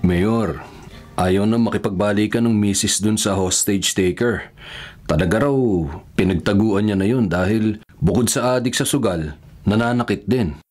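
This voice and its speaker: Actor as low-voiced, respectful male aide. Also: moderate pace, a little mellow, soft background music, natural voice. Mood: reporting, respectful. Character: respectful, matter-of-fact male mayor's aide. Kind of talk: Filipino radio drama acting.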